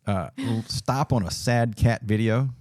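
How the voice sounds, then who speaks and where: A man's voice: medium pitch, measured pace, a little mellow, no room sound, clean studio sound. A man hosting a casual podcast, blunt and joking, swearing freely. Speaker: podcast host.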